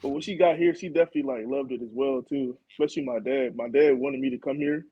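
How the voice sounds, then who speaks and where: A young man from Florida, a college football player, answering interview questions in a relaxed way. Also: medium pitch, fast talker, breathy edge, no echo, lo-fi sound. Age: young adult. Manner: relaxed, laid-back, many fillers like "like" and "man".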